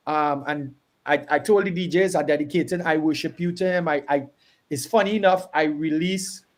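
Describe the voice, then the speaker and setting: A man with a Trinidadian accent, a gospel artist talking on the radio with earnest, encouraging words. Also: a little high. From Trinidad and Tobago. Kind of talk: casual radio tribute talk.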